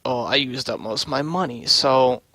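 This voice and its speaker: A man's voice: mid-range voice, moderate pace, sharp tone, no echo. A man giving casual, unscripted game livestream commentary, thinking aloud in offhand short fragments. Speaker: livestream host and game developer.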